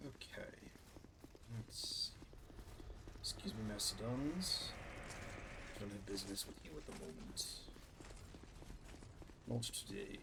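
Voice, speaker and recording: Low-voiced man giving casual game commentary. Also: lively intonation, slow, long pauses, very noisy. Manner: chatty, rambling, with fillers and self-corrections. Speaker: gaming channel host.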